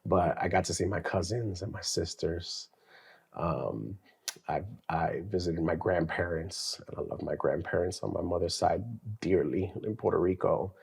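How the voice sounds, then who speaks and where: A man co-hosting a faith podcast, sharing his childhood story in a casual, animated way with some slang. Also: sits low, normal speed, gravelly. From New York. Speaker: podcast co-host. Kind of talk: casual testimony chat.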